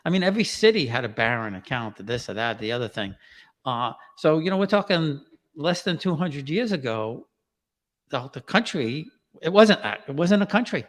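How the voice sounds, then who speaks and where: Casting: man, Queens New York accent, easygoing storyteller, slightly higher voice, reverberant room. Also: very animated, moderate pace. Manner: storytelling, easygoing, chuckles often. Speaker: podcast host and author. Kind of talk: casual interview chat.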